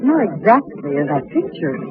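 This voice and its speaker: An actress playing an engineer's genteel, easily alarmed wife in a radio drama. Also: female voice, mid-range voice, sing-song, moderate pace, natural voice. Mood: wistful, curious. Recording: dull, noisy radio drama recording.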